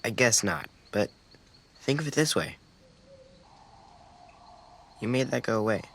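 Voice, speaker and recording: A man's voice: medium pitch, animated, measured pace, a bit bright. A man playing an earnest, thoughtful young boy survivor in a video game, speaking hesitantly. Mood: reflective, sincere. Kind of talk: naturalistic video game voice acting. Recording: noisy outdoor ambience with crickets.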